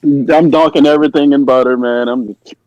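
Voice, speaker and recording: A man's voice: mid-range voice, fairly even intonation, a little fast, darkish tone, no room sound, highs cut off. A man chatting casually as a podcast co-host, slangy and conversational in his delivery. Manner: slangy, filler-heavy, says "man" often.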